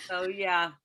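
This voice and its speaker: A woman with a New Jersey accent, a Spanish teacher, telling stories in a group discussion on a video call and acting out the questions people ask her. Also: lowish voice. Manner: animated storytelling, acting out others' questions with laughs.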